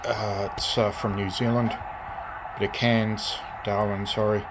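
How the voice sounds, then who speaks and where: An Australian man giving a casual hands-on show-and-tell as a hobbyist restorer, speaking in halting, piecemeal phrases. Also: on the low side, flat delivery. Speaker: radio restorer presenting own collection. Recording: clear background noise, hobby video.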